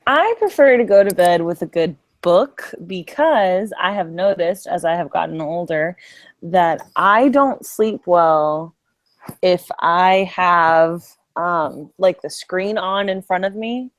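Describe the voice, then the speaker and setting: Lower-voiced woman chatting casually. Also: slow pace, no echo. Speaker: podcast panelist. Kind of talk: casual chat.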